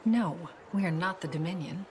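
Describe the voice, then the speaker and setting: An actress playing a cool, probing intelligence agent in a video game, with a measured, guarded and dry way of talking. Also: female voice, on the low side, natural voice. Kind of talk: video game character acting.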